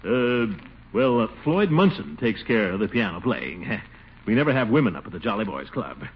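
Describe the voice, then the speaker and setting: An actor playing a pompous middle-aged bachelor who sputters and blusters when flustered, in 1940s radio acting. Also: male voice, fairly low pitch, very animated, normal speed, husky, exaggerated voice. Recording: dull old radio broadcast, faint hiss.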